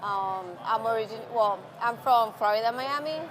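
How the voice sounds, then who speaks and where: A woman from Peru, a soccer fan giving a casual, halting street interview. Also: fairly high pitch, a bit bright. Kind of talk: casual vox pop.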